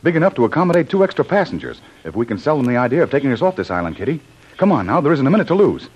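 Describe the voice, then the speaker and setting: A male actor playing a bold, insistent adventurer hero in an old radio serial, brisk and commanding. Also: mid-range voice, big pitch swings. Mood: urgent, insistent. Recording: muffled, husky old radio recording.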